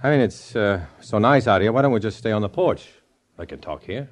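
An actor playing a guarded, dry-witted undercover agent and narrator in 1950s radio drama style, terse and cool. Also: male voice, on the low side, animated, fairly quick, a little hiss or hum, natural voice. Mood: uneasy, stalling. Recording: old 1950s radio recording.

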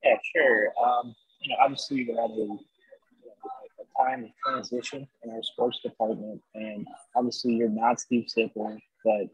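A man with a husky voice asking an interview question in a halting way, with many fillers. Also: medium pitch, flat delivery, no room sound. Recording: tinny phone-like dry line.

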